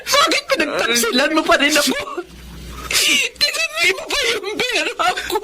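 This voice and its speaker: A woman's voice: very high voice, big pitch swings, moderate pace, a loud crowd. A woman acting as a betrayed, long-suffering middle-aged mother in an emotive Filipino radio drama. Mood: anguished, tearful. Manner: emotive, melodramatic. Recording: noisy ambient background.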